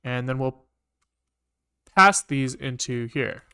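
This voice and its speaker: A man's voice: mid-range voice, takes it slowly, bright, forceful tone, smooth. A man live-streaming a programming session, talking casually to himself with informal, sweary muttering as he works things out. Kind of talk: casual thinking aloud while coding.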